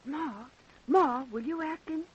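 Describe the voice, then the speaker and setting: A sulky American teenage daughter. Her voice is high and girlish, pleading in the manner of vintage radio drama, on an old broadcast recording. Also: a little slow, darkish tone, muffled recording. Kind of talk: 1930s radio drama acting.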